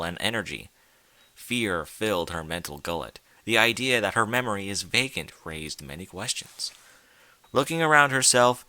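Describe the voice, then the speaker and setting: A man narrates a story in a theatrical, scripted style. His fairly low voice is bright and forceful, swinging widely in pitch for emphasis, and it is recorded close and dry. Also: no room sound. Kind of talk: dramatic scripted fan fiction narration.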